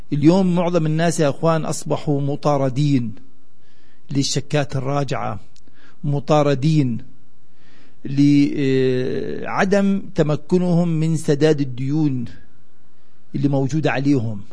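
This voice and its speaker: A Palestinian man hosts a live radio call-in show. His voice sits a little high for a man. He talks conversationally to his listeners, in his local Arabic accent. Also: dark tone.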